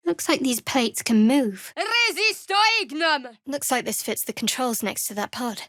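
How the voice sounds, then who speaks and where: A woman's voice: high-pitched, animated, measured pace, fairly bright tone, no room sound. A woman playing a guarded, sardonic half-elf cleric in a fantasy video game, with a dry delivery. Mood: forceful incantation.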